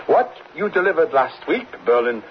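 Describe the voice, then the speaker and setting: An actor playing a formal, coldly demanding German colonel and spymaster in an old radio drama. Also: male voice, a little high, very animated, normal speed. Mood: cool, demanding. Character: stern, demanding German colonel running a spy. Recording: muffled old radio recording, faint noise.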